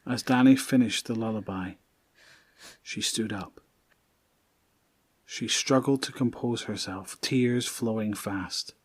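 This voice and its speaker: A man narrating an audio drama in a solemn, deliberate, dramatic scripted style. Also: on the low side, husky, dry recording. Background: music.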